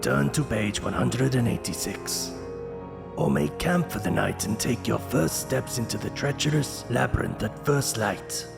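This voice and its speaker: A man's voice: medium pitch, a little slow, gravelly, loud music behind the voice. A man giving an ominous, theatrical scripted narration of a fantasy adventure. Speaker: narrator of a choose-your-own-adventure book. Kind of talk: dramatic scripted fantasy narration in second person.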